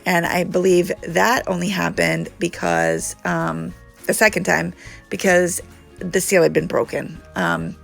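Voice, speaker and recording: A woman talks through a nail tutorial in a relaxed, chatty way, her fairly low voice a bit gravelly and congested from allergies. Soft music plays faintly underneath. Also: a little slow. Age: adult. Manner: chatty, relaxed, frequent ums. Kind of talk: casual how-to chat.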